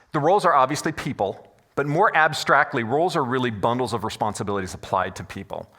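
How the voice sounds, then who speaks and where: Fast, bright-voiced male conference speaker. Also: on the low side. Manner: loud, animated presenter. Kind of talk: conference presentation. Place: conference room before an audience. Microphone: stage microphone.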